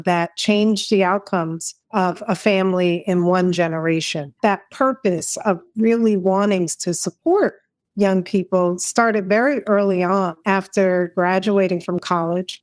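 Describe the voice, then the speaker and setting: A woman's voice: lowish voice, darkish tone, dry recording. A woman from New Jersey, a foundation head, talking about her career in an interview. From Newark, New Jersey. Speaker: guest, foundation president. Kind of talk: podcast interview.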